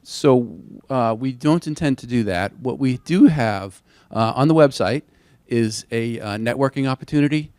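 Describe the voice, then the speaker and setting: A man's voice: mid-range voice, normal speed, a little mellow, clear, resonant tone, dry recording. A man answering questions as a contracting officer at an industry briefing, careful and hedging, with frequent restarts.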